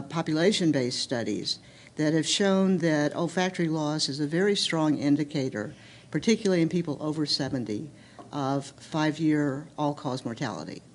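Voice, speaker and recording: A woman researcher answering a panel question in a careful, halting way, with fillers and restarts. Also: low-pitched, darkish tone. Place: hospital symposium hall.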